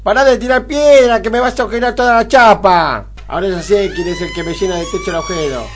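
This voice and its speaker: A man's voice: extremely high pitch, wildly swooping pitch, quick pace, faint music behind, exaggerated voice. A man playing a poor, streetwise young windshield washer with a slangy Buenos Aires drawl in an amateur radio play. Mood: annoyed shouting. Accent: Argentine, Buenos Aires. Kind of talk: amateur radio drama acting.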